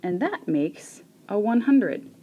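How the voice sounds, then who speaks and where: A woman voicing a cheeky male job-seeker with a put-on Norwegian accent, slow and pleased with himself. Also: medium pitch, big pitch swings, highs cut off, voice pushed up. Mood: smug.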